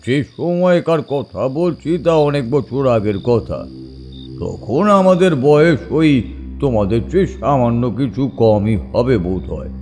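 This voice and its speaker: Man acting an animated older village uncle storyteller. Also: mid-range voice. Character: older village uncle telling eerie tales, unhurried, opinionated. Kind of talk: Bengali audio drama storytelling.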